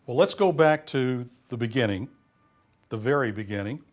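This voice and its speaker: A man's voice: mid-range voice, normal speed. Courteous male interviewer asking questions.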